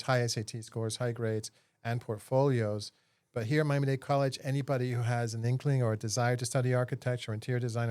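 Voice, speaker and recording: A man's voice: mid-range voice, darkish tone, no room sound. A man, an architecture professor, explaining his program in an earnest way, with many fillers. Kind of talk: interview answers.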